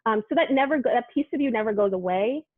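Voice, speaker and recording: A woman's voice: on the high side, fast talker, dry recording. Brooklyn woman sports reporter chatting on a call, lots of likes and you knows, tinny line. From Brooklyn, New York. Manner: chatty, animated, many fillers like "like" and "you know". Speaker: sports reporter, interview guest. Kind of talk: casual interview conversation.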